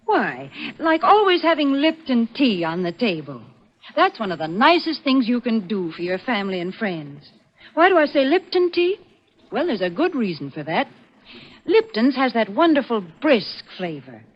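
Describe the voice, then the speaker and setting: A cheery, wholesome sponsor's saleswoman from 1940s radio. Her voice is fairly high and very animated, with neat, persuasive phrasing. The broadcast sounds old and slightly muffled. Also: normal speed. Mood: cheerful selling. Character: cheery, wholesome female tea saleswoman sparring with host. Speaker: sponsor's spokeswoman.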